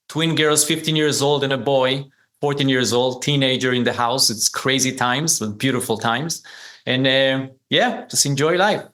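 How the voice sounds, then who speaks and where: A man's voice: medium pitch, pushed and bright. A man in his forties with an Israeli accent, a native Hebrew speaker, telling his story conversationally as an interview guest. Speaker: podcast guest, business founder.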